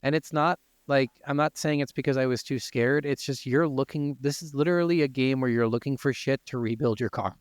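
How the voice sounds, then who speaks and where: Male gaming podcast co-host, mid-pitched and clean-toned, casual, profane delivery, very dry sound. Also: brisk, few pauses, no echo. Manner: informal, freely profane. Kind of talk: casual video game discussion.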